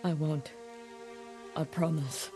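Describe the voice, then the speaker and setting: A fierce, grief-hardened warrior noblewoman speaks slowly and deliberately. She sounds vengeful, in cinematic game style, with music clearly behind her. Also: low-pitched, steady tone. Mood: subdued. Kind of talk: dramatic video game acting.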